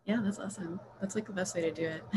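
A woman's voice: medium pitch, fairly even intonation, brisk. A woman interviewing someone in a casual, chatty style. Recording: clearly noisy background.

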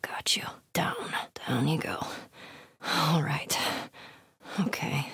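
A woman's voice: deep voice, takes it slowly, gravelly, fairly bright tone, slightly rough, dry recording, in a whisper, full character voice. An actress playing a tough, foul-mouthed, wry young woman survivor in a naturalistic video game performance. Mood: quiet, concentrating. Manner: muttering, terse, casually profane.

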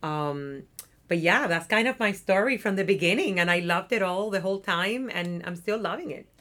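Woman, Cuban accent, low voice, chatty, upbeat, Spanish native speaker. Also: animated, moderate pace, fairly bright tone. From Cuba. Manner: talkative, upbeat, often adds "right?". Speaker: interview guest, nonprofit community development worker. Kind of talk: casual interview chat. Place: office.

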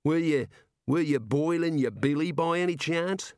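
A man's voice: a little high, moderate pace, a little mellow, no echo, clean studio sound, pitched above the performer's own voice, lightly acted. Man voicing an eager ballad writer. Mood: eager, placating. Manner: colloquial, eager. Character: nosy, obliging amateur ballad writer calling through window. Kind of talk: comic audiobook character voice.

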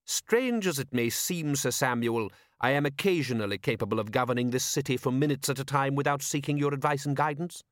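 A man's voice: mid-range voice, fairly quick, hardly any pauses, no echo, studio quality, pitched above the performer's own voice, natural voice. A man voicing a shrewd, coolly commanding city ruler in an audiobook, precise, formal and sardonic. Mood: dryly sardonic. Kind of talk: audiobook character voice.

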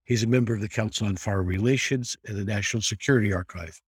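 A man hosting a podcast, delivering a polished scripted introduction and then asking a guest questions in a measured way. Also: lowish voice, slightly rough, no room sound, studio quality. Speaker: podcast host.

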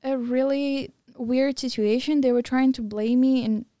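A woman's voice: high voice, fairly even intonation, unhurried, warm tone, no room sound, studio quality. A young woman, a podcast guest of Mongolian and German background, candidly telling her story in casual chat with many fillers. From Mongolian-German, living in Thailand. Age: young adult.

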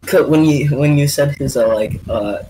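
A man's voice: fairly high pitch, normal speed, warm tone, poor audio. A young man co-hosting a podcast, joking, teasing and telling stories in casual chat. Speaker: podcast co-host.

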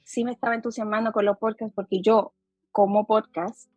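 A woman's voice: mid-range voice, level tone, normal speed, husky, no room sound, soft background music. A woman with a mixed Chilean accent hosting a casual podcast chat over a video call, talking in a chatty way with many fillers. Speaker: podcast host.

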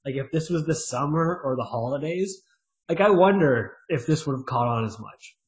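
A man co-hosting a podcast in casual chat, joking and animated, poking fun at himself. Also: on the high side, normal speed. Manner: joking, animated, self-mocking. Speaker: podcast co-host. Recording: dull lo-fi sound.

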